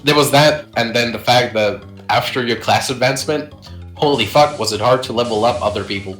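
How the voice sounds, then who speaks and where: A man's voice: medium pitch, a little fast, a bit bright, roomy sound, soft background music. A young man chatting casually about old online games, rambling, swearing and laughing often. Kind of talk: casual gaming chat.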